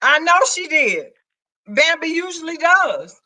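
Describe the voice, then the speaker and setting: A woman's voice: very high-pitched, very animated, unhurried, sharp tone, dry recording, low-quality recording. A woman with a Southern US accent, hosting a live stream with sassy, teasing banter. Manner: sassy, teasing, calls others "girl" and "child".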